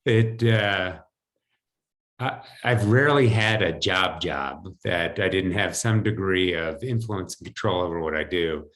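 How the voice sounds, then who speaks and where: A low-voiced American man in his fifties talks as a guest on a video call. He tells his own story in a conversational way, as if chatting with the interviewer. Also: unhurried, a bit bright. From United States, New Jersey. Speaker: podcast guest, financial advisor. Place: home, indoors. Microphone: video call computer mic.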